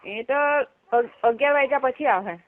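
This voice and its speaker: A woman's voice: a little high, normal speed. A woman with a Gujarati accent on a phone call, questioning and guarded. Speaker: recipient of a prank call. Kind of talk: unscripted phone conversation. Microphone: telephone. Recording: tinny narrowband phone line.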